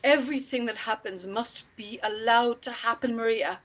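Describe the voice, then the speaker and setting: A woman playing a solemn, commanding female guide, deliberate and insistent, in a dramatic table read. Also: a little high, a bit bright. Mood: solemn resolve. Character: Brida, solemn, commanding woman guiding a distraught companion. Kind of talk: screenplay table-read acting.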